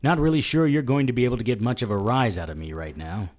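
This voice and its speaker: An actor playing a wisecracking, defiant noir investigator in an audio drama, dry and sardonic in dialogue and narration. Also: male voice, medium pitch, fast, a little mellow, natural voice. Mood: wry.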